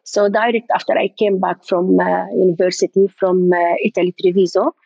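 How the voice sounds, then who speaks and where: An older woman from Jordan, a guest in an interview, speaking haltingly and searching for her words. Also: on the low side, level tone, creaky, warm tone, dry recording, dull audio. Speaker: event designer, guest. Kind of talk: interview conversation.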